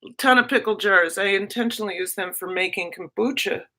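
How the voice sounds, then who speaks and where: A woman's voice: mid-range voice, brisk, pushed and bright. A woman with a Minnesota accent, chatting casually on a livestream, answering viewers in a rambling, self-interrupting way. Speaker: teacher and livestream host. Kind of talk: casual livestream chat, reading viewer comments.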